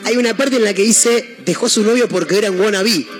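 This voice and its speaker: This is a man with an Argentine accent hosting a casual radio show. His voice sits fairly high. He chats in a halting, easygoing way, often trailing off and correcting himself, while music plays clearly underneath. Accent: Argentine Spanish. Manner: chatty, halting, many fillers and self-corrections. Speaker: radio host. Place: radio studio.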